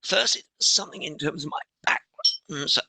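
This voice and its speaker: A middle-aged man, a podcast guest, talking with a strained, hoarse voice in halting phrases that break off and restart. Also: on the high side, expressive, pushed and bright, dry recording. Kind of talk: interview conversation.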